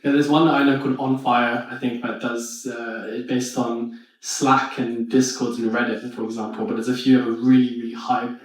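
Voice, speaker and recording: A man in the audience puts questions to a founder, halting and full of "uh" and "um". His speech stays flat and even in pitch. It sounds distant, with heavy echo from a large hall. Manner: hesitant, filler-heavy. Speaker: audience member asking questions. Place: large event room. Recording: echoey hall, distant mic.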